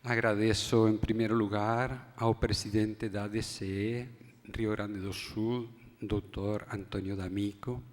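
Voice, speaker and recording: A Spanish-born man with a Spanish accent, an academic speaker giving a measured, halting forum talk. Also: lowish voice, little pitch movement. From Spain. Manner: measured, halting, restarts phrases. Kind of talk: formal lecture talk. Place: conference hall. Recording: long hall reverb.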